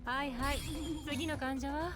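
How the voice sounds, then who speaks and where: A woman's voice: high voice, fairly even intonation, brisk, a bit bright. A woman voicing a languid, reluctant female doctor in an anime game, as game audio under music. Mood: nonchalant. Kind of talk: Japanese anime game voice acting.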